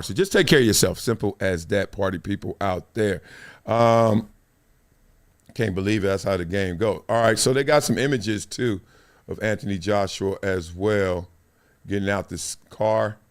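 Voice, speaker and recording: A middle-aged man hosting a casual livestream, reading viewer comments and news aloud in a playful, animated way. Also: on the low side, measured pace. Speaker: show host. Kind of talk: casual live-stream commentary.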